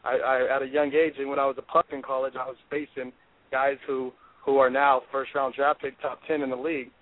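A man's voice: medium pitch, little pitch movement, a little fast, no echo. Intense young man on a phone line. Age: 20s. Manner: intense, confident, driven. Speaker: football player, draft prospect guest. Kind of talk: phone-in interview. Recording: dry tinny phone line.

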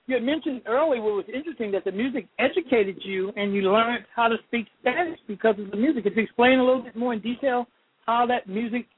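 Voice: mid-range voice, fast talker, breathy edge. An interviewer asking questions in a casual interview, often repeating words as they go. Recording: tinny phone-like radio line.

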